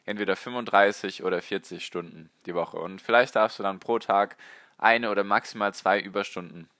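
Man, low voice, bright tone, slightly hoarse, rambling podcast host, dry close sound. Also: a little fast, no room sound. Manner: rambling, conversational, addresses listener directly. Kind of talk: casual solo podcast on personal finance.

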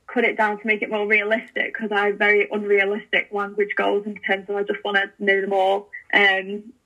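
A chatty young woman guest on a podcast, talking eagerly with frequent fillers and restarts. Her voice is bright and forward, with a flat, even pitch. It comes through thin, like a phone call. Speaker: podcast guest, language learning founder. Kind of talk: casual interview chat.

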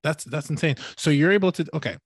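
A man's voice: a little high, quick pace, husky, dry recording, clean studio sound. Chatty, upbeat male podcast host. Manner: rambling, animated, thinks out loud with many fillers. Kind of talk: casual interview chat.

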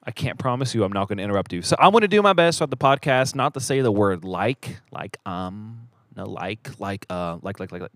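A man with a fairly low voice, recorded dry and close, hosts a casual podcast. He rambles in a joking, self-deprecating way. His sentences tend to trail off into a mumble. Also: lively intonation, a little fast, few pauses, fairly bright tone, no room sound. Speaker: podcast host.

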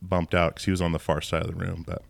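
A man's voice: deep voice, monotone, fast, creaky, no room sound. A man hosting a podcast, asking friendly, rambling questions in a casual interview, recorded indoors at a theater venue. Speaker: podcast host.

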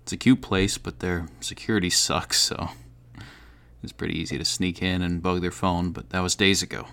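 Shady male snoop for audio drama: slightly deep, casual, muttering, sneaky. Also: little pitch movement, normal speed, gravelly, fairly bright tone. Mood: secretive, satisfied. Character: shady male investigator, sneaky, awkward when caught. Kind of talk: audio drama log entry.

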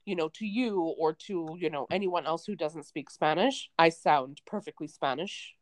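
A woman's voice: low voice, normal speed, dry recording. A young Canadian woman co-hosting a podcast, chatting casually and rambling with lots of fillers. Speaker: podcast co-host. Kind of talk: casual chat. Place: remote, away from co-host.

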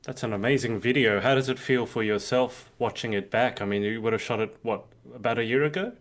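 A man's voice: mid-range voice, fairly quick. A man hosting a live interview, chatty and casual, with frequent fillers. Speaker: host. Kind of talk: livestream interview.

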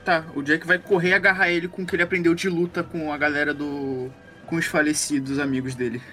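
A man's voice: on the high side, flat delivery, quick pace, bright, forceful tone, soft background music. A man with a Brazilian accent casually narrating his character's actions as a tabletop game player.

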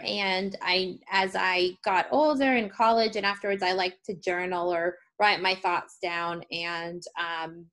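A woman's voice: fairly low pitch, a bit bright. A woman in her thirties with an American accent, speaking as a guest in a casual interview, telling things in a chatty, informal way. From United States (Seattle area).

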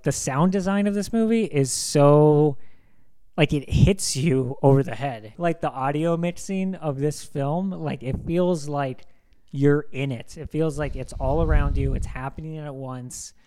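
A man's voice: a little high, dark tone, clear, resonant tone. A young man co-hosting a casual film discussion podcast, talking with enthusiasm and rambling, filling his sentences with "like". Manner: enthusiastic, rambling, heavy use of "like". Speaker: podcast co-host.

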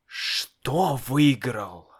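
A man's voice: on the high side, very animated, slow pace, pitched above the performer's own voice, heavily acted. A man doing a hot-tempered, frantic dad. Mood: agitated. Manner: loud, exasperated, snapping. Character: nervous, hot-tempered father, shouting and hissing. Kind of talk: storybook reading.

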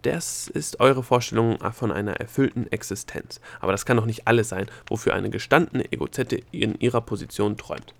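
A man's voice: on the low side, a little fast, creaky, hardly any pauses, no room sound. Man voicing an exasperated, emphatic storybook gnome. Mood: pleading. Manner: loud, emphatic, wheedling. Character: exasperated, fast-talking male gnome pleading and persuading. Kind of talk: storybook character dialogue.

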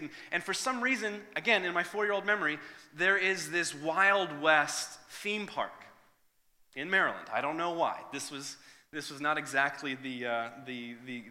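Male pastor, 30s, earnest and conversational, bright voice, long hall reverb. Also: on the high side. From Northern Ireland. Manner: earnest, conversational, with frequent restarts and fillers. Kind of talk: sermon with prayer and personal story.

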